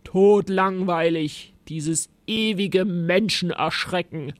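A huge, grumpy storybook monster, voiced by a woman, growls and barks its demands. It is bored stiff and bullying, speaking slowly and heavily in a dry, close recording. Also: fairly low pitch, no echo. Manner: growling, grunting, snapping commands.

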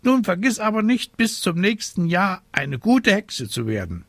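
A man voicing a fussy, scolding talking raven in a croaky, indignant storybook performance. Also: high voice, very animated, a little slow, a bit bright, no echo, voice pushed up, heavily acted. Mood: admonishing, sceptical. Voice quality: croaky, squawking. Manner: indignant, nagging.

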